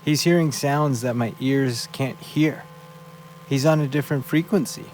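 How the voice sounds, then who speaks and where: A man's voice: mid-range voice, moderate pace, a little mellow, slight background noise, close to an everyday voice. An actor playing a small, earnest, devoted rescue dog who tells his story wistfully in an audio play. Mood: worried, wistful.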